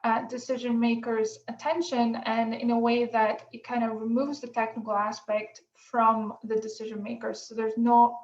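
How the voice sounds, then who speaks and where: A woman from Greece moderating an online workshop over a video call, relaxed, with many pauses and fillers. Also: on the high side. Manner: relaxed, many ums, thinking aloud. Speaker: workshop facilitator. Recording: echoey room, dull lo-fi sound.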